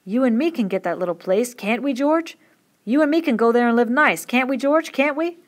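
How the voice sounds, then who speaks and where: A woman voicing an old crippled ranch hand who pleads in a rural drawl, his voice climbing high. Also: expressive, fairly quick, close to an everyday voice. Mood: pleading.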